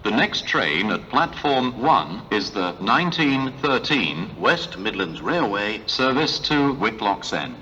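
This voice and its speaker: A man's recorded voice making automated train announcements on a station platform, in a measured, clearly separated delivery. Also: on the low side, sing-song, sharp tone, highs cut off. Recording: station ambience, faint train noise.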